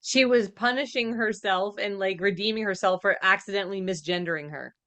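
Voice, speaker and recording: Female podcast co-host, bright forceful voice, informal chatty reactions, close dry sound. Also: mid-range voice, brisk, dry recording. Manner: informal, peppered with "like". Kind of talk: casual reaction chat.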